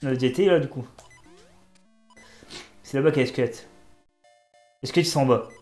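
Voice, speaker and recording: A man's voice: medium pitch, fairly quick, darkish tone, echoey room, faint music behind. Stuffy-nosed man joking through a game. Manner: joking, talks to himself and the game characters. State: has a cold. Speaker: gaming YouTuber. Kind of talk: casual let's-play commentary.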